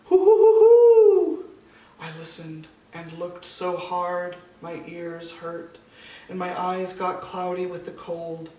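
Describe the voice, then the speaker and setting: A man reading a children's picture book aloud in a measured storytelling way, with deliberate pauses. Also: high-pitched, completely flat delivery, a bit bright, dull audio. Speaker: storybook reader. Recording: echoey room, distant mic, faint noise.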